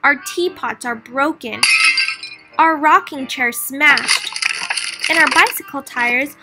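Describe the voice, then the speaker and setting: Woman voicing a tiny, high, piping little mayor. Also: slow, loud music behind the voice. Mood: pleading. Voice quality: small, piping. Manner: earnest rhyming speech. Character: tiny, polite, grateful mayor of a speck-sized town.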